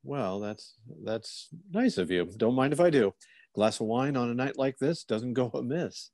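A man's voice: mid-range voice, lively intonation, normal speed, a little mellow, dry recording, lightly acted. A man playing a courteous, inquisitive police officer who muses and probes politely, in old-time radio theater style. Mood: genial. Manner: polite, musing, probing.

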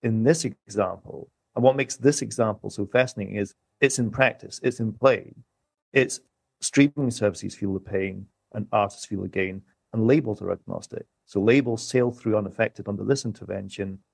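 A man guest on a podcast, calmly explaining music streaming economics and recapping his points step by step. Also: fairly low pitch, moderate pace, vocal fry, husky, no room sound. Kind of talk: podcast discussion.

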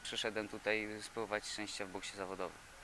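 A young male boxer in his twenties from Poland, answering interview questions haltingly with many fillers and repeated words, recorded on a handheld mic in an indoor gym. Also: on the low side, little pitch movement, noisy background. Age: 20s. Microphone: handheld reporter mic.

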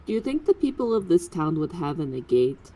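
A literal, exacting construct in a tabletop game, played by a woman. The pitch swings widely while the wording stays pedantic and matter-of-fact. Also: big pitch swings, a little fast, warm tone, slightly rough, poor audio. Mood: curious. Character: literal-minded, matter-of-fact construct without nerves, T-Wig. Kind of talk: tabletop roleplay in character.

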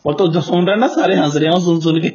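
A young man in a casual phone chat, giving placating, agreeing replies over a loudspeaker call. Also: high-pitched, fast. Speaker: gamer, YouTuber. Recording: muffled lo-fi phone call recording.

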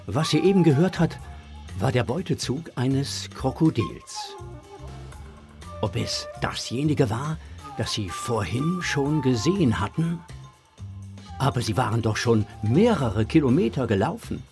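A man narrating a children's adventure story from a script in a suspenseful, measured way. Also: mid-range voice, very animated, music in the background.

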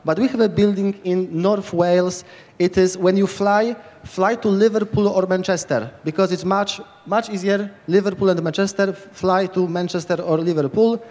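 Polish-accented man, high voice, rambling and eager, handheld mic, long reverb. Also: steady tone, moderate pace, darkish tone. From Poland. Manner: rambling, enthusiastic, many restarts. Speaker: lightning talk speaker. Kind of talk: informal conference talk. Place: large tent stage at hacker camp.

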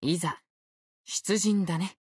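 A man's voice: high-pitched, slow, a little mellow, slightly rough, no room sound, studio quality, exaggerated voice. A man voicing an easygoing, unfazed ancient sword spirit in youthful male form for a game, with languid, nonchalant phrasing. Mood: composed. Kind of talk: Japanese game character voice acting.

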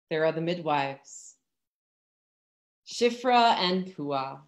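Slow-paced American woman preaching. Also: medium pitch. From Virginia, United States. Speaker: pastor. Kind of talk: sermon. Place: indoors at home, streamed over a video call. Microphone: computer mic on a video call.

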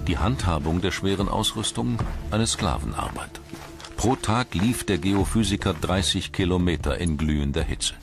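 A man's voice: sits low, animated, moderate pace, creaky. A man reading scripted documentary narration in standard German. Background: background music.

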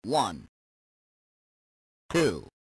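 A terse male space command controller, calls out short military announcements for an arcade game. He is slow and measured, with a very dry sound. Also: lowish voice, wildly swooping pitch, slightly rough, dry recording, heavily acted. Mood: businesslike. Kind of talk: clipped video game announcements.

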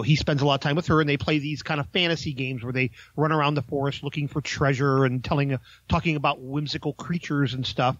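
A man's voice: medium pitch, no room sound, lo-fi sound. A man giving a casual, informal film review, talking in a hesitant, rambling way.

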